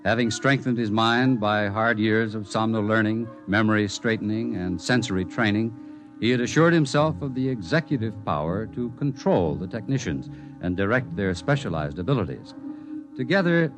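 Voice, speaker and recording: An actor playing the measured male narrator of a 1950s radio drama, reading scripted narration. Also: on the low side, lively intonation, lightly acted. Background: dramatic music.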